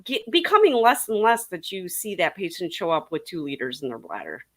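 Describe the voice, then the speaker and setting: A woman's voice: on the low side, big pitch swings, fairly quick. A middle-aged woman talking informally on a panel, chatty and repeating points for emphasis. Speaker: urology clinic panelist. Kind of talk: casual panel chat.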